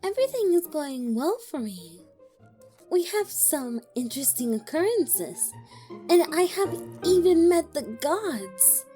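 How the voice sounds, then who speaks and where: A woman acts out a young female bard from a fantasy audio drama. Her voice is high and swoops with feeling, and she takes her time over her words. She comes across as earnest and quick to take offense. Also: soft background music, exaggerated voice. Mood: reflective. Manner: expressive, indignant when provoked.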